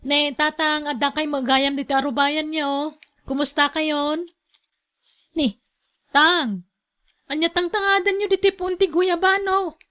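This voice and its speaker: A woman's voice: high-pitched, flat delivery, measured pace, long pauses, sharp tone. A woman with an Ilocano accent playing a concerned younger relative in a radio drama, calling out and urging.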